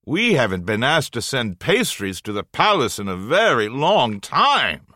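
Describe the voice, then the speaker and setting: A woman doing a hearty male baker, low and very swooping, dry sound, storybook reading. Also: sing-song, measured pace, sharp tone, no echo, heavily acted. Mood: pleased. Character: jovial middle-aged male master baker.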